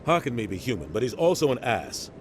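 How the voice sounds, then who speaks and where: An actor playing a frank, opinionated government official in a video game, explaining things in a blunt, matter-of-fact way. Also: male voice, mid-range voice, very animated, fast, slight background noise, natural voice. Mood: exasperated, blunt. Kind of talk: video game dialogue acting. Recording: music behind the voice.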